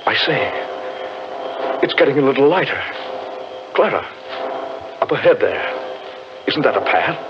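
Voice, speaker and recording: An actor playing an anxious, haunted gentleman in earnest, theatrical 1940s radio drama style. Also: mid-range voice, big pitch swings, a little slow, pauses often. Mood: urgent. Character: anxious, haunted gentleman survivor of a cursed forest. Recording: dull, faintly noisy 1940s radio recording.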